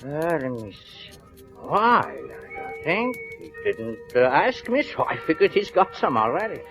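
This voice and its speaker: An actor playing a chatty old cigar store clerk in a radio drama, rambling and hesitant in folksy broken phrases. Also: male voice, on the high side, very animated, unhurried. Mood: recalling. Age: older. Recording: dull vintage radio recording with music.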